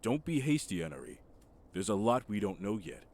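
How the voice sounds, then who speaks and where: A man's voice: lowish voice, very animated, a little fast, vocal fry, a little mellow, full character voice. A man voicing a cautious, level-headed character in a fantasy visual novel. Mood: measured caution.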